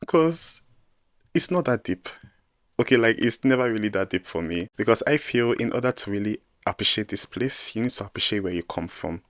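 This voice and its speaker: Lively young Nigerian man, low voice. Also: fairly quick, no room sound. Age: 20s. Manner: emphatic, animated advice-giving with sudden loud bursts. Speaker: vlogger giving advice to newcomers. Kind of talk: casual direct-to-camera vlog.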